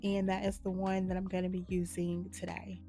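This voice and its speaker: Slightly hoarse woman, mellow tutorial voice. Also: lowish voice, a little fast, faint music behind. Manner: relaxed, matter-of-fact instructions. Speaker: nail art channel host. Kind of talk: casual step-by-step tutorial narration.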